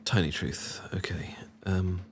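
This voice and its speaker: A British man playing a shy, tender young man in an intimate ASMR boyfriend roleplay, speaking softly and haltingly with stammers and gentle teasing. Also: low-pitched, steady tone, slight background noise. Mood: playful, cozy. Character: shy, tender young man confessing love to old friend. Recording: clear background ambience of rain. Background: rain ambience.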